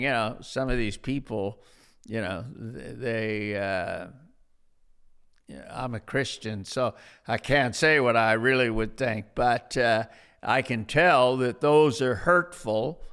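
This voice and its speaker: A man in his seventies hosting an unscripted interview podcast as a pastor, speaking in a deliberate, halting way with long pauses. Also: medium pitch, fairly bright tone, smooth. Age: 70s. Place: at a dining room table in a house.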